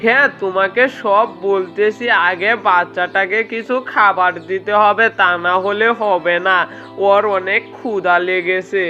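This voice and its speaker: Woman voicing a bright, kindly cartoon ghost. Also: medium pitch, steady tone, measured pace, dull audio, faint music behind. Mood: earnest, caring. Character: Bhutuda, kindly fat horned male ghost. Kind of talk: children's cartoon dialogue.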